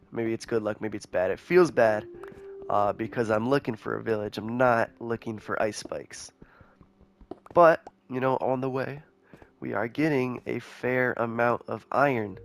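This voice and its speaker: A young man giving casual, rambling commentary over a game, excitable and chatty with viewers, his voice a little nasal from a cold. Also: lowish voice, gravelly. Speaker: gaming YouTuber.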